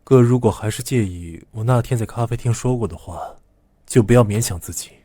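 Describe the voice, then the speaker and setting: An actor playing a troubled, clingy young male student in an animated drama, quietly obsessive, with a soft-spoken, coaxing manner. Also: lowish voice, brisk, husky, dry recording, studio quality. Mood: wounded, pleading.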